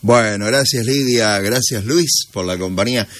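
A man's voice: fairly low pitch, moderate pace, fairly bright tone. A sleepy man with an Argentine accent hosting a morning radio show, chatting casually and unhurriedly with listeners.

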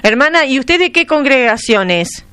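A woman's voice: high-pitched, animated, normal speed, fairly bright tone, clean tone, no room sound. A woman interviewer, asking questions in a chatty, enthusiastic way. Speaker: radio interviewer. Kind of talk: radio interview.

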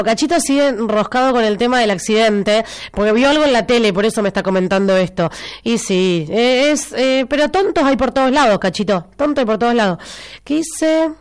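An Argentine woman hosting a local radio show in a studio, speaking with a somewhat high, clear, smooth voice despite a stuffy nose from a cold. She talks in a chatty, teasing way and repeats key details for her listeners. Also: expressive, normal speed. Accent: Rioplatense Argentine Spanish. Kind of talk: casual live radio chat with listener messages.

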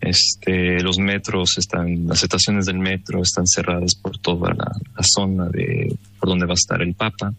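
A man's voice: sits low, monotone, moderate pace, vocal fry, hardly any pauses, pushed and bright, dry recording. A Mexican man interviewed by phone, answering thoughtfully with many fillers. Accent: Mexican Spanish. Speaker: interview guest.

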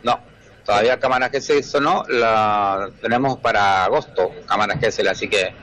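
A man's voice: fairly low pitch, normal speed, a bit bright. An Argentine man speaking as a defence lawyer in a live news interview, hesitating and repeating words.

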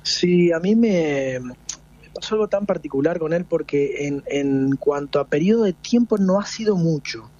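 A man's voice: on the high side, lively intonation, a little slow, a little mellow, dull audio. A man in his forties with an Argentine Spanish accent, a folk singer being interviewed, talking reflectively with many restarts. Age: 40s. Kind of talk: radio interview.

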